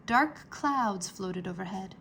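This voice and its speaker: A woman narrating a children's storybook aloud in a deliberate, dramatized way. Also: mid-range voice, lively intonation, a bit bright, a little hiss or hum.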